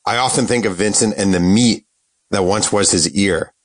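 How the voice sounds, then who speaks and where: A man's voice: on the low side, normal speed. A man hosting an interview, riffing playfully and joking with his guest. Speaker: podcast host. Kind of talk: casual interview chat.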